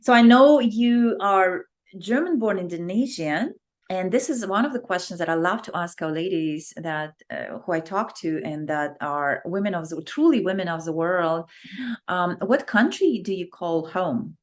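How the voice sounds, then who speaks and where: A woman's voice: fairly low pitch, expressive, normal speed. A woman hosting an interview podcast, affirming her guest often and repeating that she loves what she hears. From outside the US. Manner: warmly affirming, repeats "I love that". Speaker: podcast host.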